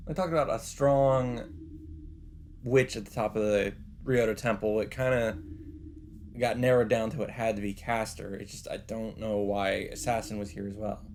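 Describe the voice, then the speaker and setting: A man's voice: fairly low pitch, steady tone, normal speed, darkish tone, a little hiss or hum. A man reading a visual novel aloud with dramatic delivery as a let's player, with faint game ambience behind him.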